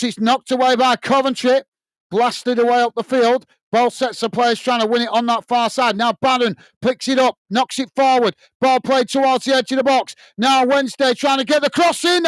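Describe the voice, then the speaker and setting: A British man with a tiring, slightly hoarse voice giving live play-by-play commentary on a football match for a fan watch-along channel, chatting to viewers as he goes. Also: very high-pitched, no echo. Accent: British English. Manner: steady running commentary, talks to viewers as guys. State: voice tired and going hoarse.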